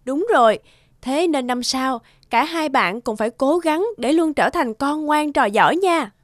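A woman voicing a caring, gently chiding young mother in an animated cartoon. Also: high voice, unhurried, no room sound, studio quality. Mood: encouraging.

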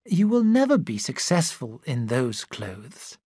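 A man's voice: medium pitch, huge pitch swings, measured pace, darkish tone, slightly rough, no room sound, studio quality, heavily acted. A man voicing a frank older woman who gives firm, motherly advice in an audiobook. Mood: advising.

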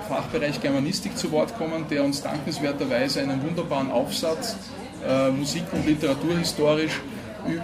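A middle-aged man, the producer, formally introducing the speakers at a press conference, with some fillers. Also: a little high. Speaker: producer and moderator. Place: ornate café room with audience. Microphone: table microphone. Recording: room with a loud crowd.

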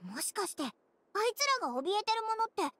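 A woman voicing a tiny, childlike fairy companion in an anime game, cheeky, blunt and excitable, with boyish turns of speech. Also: extremely high pitch, brisk, slight background noise, heavily acted. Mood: surprised, curious.

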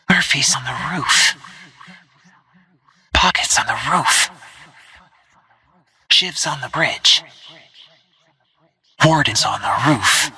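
Stealthy game assassin: female, very breathy half-whisper, slow and controlled, terse tactical callouts. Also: deep voice, sharp tone, exaggerated voice. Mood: hushed, focused. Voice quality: breathy, husky, near-whispered. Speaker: video game character voice.

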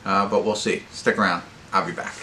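A middle-aged American man giving a casual, unscripted movie review in a halting, rambling way, often correcting himself. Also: low-pitched, flat delivery, sharp tone. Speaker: amateur movie reviewer and host. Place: small indoor wood-panelled room at home. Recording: roomy echo with faint background noise.

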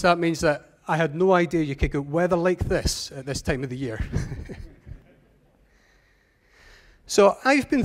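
Scottish man giving a conference talk. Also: fairly high pitch. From Scotland. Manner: measured, earnest presenting, walking the stage. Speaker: conference speaker. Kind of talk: keynote talk on stage. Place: large auditorium stage.

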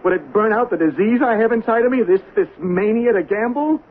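An actor playing a desperate, defensive gambling addict accused of murder, halting and pleading, in 1970s radio drama style. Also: male voice, high-pitched, lively intonation, breathy edge. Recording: tinny band-limited noisy 1970s radio recording.

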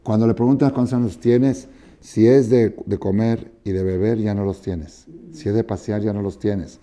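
A man in his sixties teaching a Torah class in a study hall, expressive and often asking his listeners whether they follow. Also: on the low side, normal speed. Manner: expressive, questioning listeners, acting out dialogue. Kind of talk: animated Torah lesson with parables. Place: study hall with wooden chairs, indoors.

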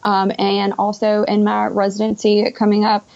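Young woman, creaky voice, lo-fi call. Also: mid-range voice, completely flat delivery, moderate pace, a little mellow. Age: young adult. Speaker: podcast guest, pharmacist. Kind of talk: conversational interview answers. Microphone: Skype call. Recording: dull lo-fi internet call audio.

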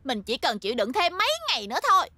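High-pitched, hot-tempered little schoolgirl. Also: big pitch swings, rapid-fire, bright, forceful tone, no echo, exaggerated voice. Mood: grumbling, regretful. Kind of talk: cartoon dubbing.

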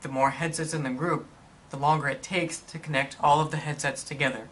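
A man reading scripted instructional narration in a measured, explanatory manner for a product tutorial. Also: fairly high pitch, steady tone, a bit bright, a little hiss or hum.

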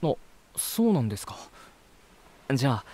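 A man's voice: mid-range voice, very animated, moderate pace, a little mellow. An actor playing a polite, curious male visitor in an anime-style voice drama, both talking and narrating earnestly.

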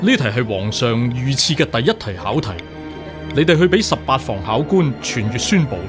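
A man with a Hong Kong Cantonese accent playing a stern, righteous imperial examiner in a radio drama, forceful and oratorical. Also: medium pitch, very animated, normal speed, music in the background, lightly acted. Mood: brisk, official. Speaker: actor.

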